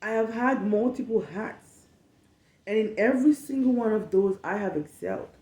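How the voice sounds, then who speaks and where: A woman's voice: mid-range voice, normal speed, dark tone, echoey room, low-quality recording. A woman in her late twenties from Cameroon with a Cameroonian accent, hosting a candid solo podcast, frank and emphatic with repetition. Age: late 20s. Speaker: podcast host.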